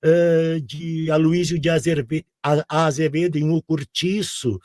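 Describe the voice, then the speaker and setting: A man's voice: on the high side, fairly even intonation, measured pace, a little mellow, no room sound, clean studio sound. A man in his sixties from Rio Grande do Sul, a guest chatting in a rambling way and often checking in with the listener. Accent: Rio Grande do Sul Portuguese. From southern Brazil. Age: 60s. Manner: rambling, keeps asking "sabe?". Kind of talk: casual interview chat.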